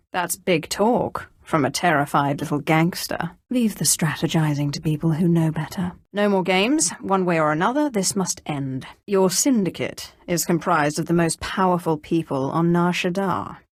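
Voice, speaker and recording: An actress playing an imperious, menacing Sith sorceress risen from slavery in a video game, with a haughty, commanding delivery. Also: female voice, fairly low pitch, slightly rough, dry recording, studio quality, heavily acted. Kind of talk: scripted game dialogue.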